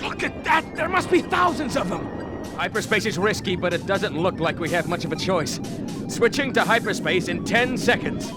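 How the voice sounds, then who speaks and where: A man's voice: sits high, lively intonation, normal speed. A man playing an upbeat, commanding starship captain in an early 1980s children's record drama, with an emphatic delivery. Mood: excited, urgent. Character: upbeat heroic male starship captain, Jim Stanton. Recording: old vinyl record with music behind.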